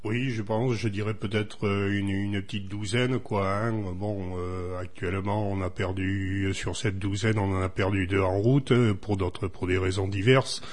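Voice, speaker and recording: A man, a member of a rally club, answering a question hesitantly with rough estimates. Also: fairly low pitch, steady tone, measured pace, hardly any pauses.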